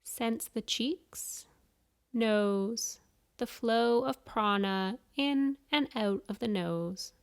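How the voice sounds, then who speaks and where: A woman guiding a yoga nidra meditation, giving soothing, measured instructions with pauses between phrases. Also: fairly high pitch, clean tone. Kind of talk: guided meditation.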